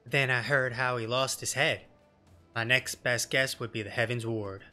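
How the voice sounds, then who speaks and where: A man's voice: mid-range voice, steady tone, moderate pace, pushed and bright, soft background music. Man voicing a blunt, streetwise rebel woman. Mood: defiant, sardonic. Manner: blunt, colloquial, mildly cursing. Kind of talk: game dialogue read in character.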